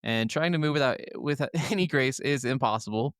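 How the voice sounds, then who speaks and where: A man's voice: mid-range voice, brisk, no room sound, clean studio sound. Crude, jokey man in casual chat. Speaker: podcast co-host.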